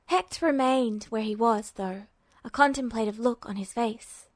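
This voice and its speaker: Higher-pitched woman narrating a story. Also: a little slow, no echo, clean studio sound. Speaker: narrator, fan fiction reader.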